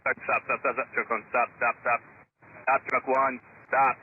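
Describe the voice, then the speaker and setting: A man's voice: medium pitch, level tone, normal speed, phone-quality sound. Male air traffic controller on noisy radio. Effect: radio transmission. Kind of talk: clipped radio instructions.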